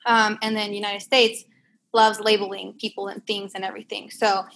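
A woman's voice: mid-range voice, flat delivery, moderate pace, gravelly, a bit bright, low-quality recording. A young woman from Puerto Rico, a guest speaking earnestly and hesitantly in a casual discussion. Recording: faint background noise.

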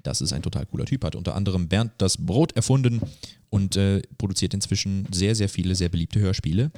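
A man's voice: low-pitched, a little fast, warm tone, no echo. A man co-hosting a podcast in casual, informal chat, dropping in English slang. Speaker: podcast co-host.

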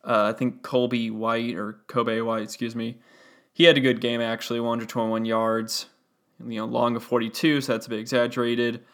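A young man hosting a solo sports podcast, talking through a game casually and analytically with a gravelly voice and frequent fillers. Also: mid-range voice, little pitch movement, moderate pace, fairly bright tone. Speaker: podcast host.

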